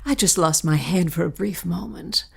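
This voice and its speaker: A woman's voice: fairly low pitch, normal speed, creaky, husky, heavily acted. An actress playing a scheming, impatient, seductive woman in a period melodrama, with a sly theatrical delivery. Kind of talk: period melodrama audio drama acting.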